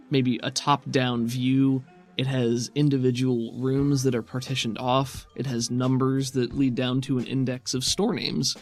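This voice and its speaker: A man's voice: mid-range voice, creaky. A man running a tabletop game as game master, narrating scenes off the cuff in a casual, encouraging way. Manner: encouraging, affirming. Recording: background music under the voice.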